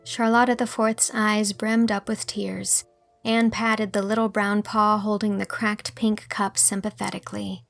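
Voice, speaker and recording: A woman's voice: medium pitch, little pitch movement, unhurried, creaky, fairly bright tone, no room sound, soft background music. A woman reading scripted literary narration as an audiobook narrator.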